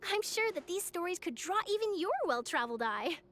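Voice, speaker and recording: A woman's voice: very high-pitched, sing-song, a little fast, fairly bright tone, heavily acted. A woman voicing an eager young newspaper reporter in a video game, with a bubbly, persuasive manner. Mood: enthusiastic.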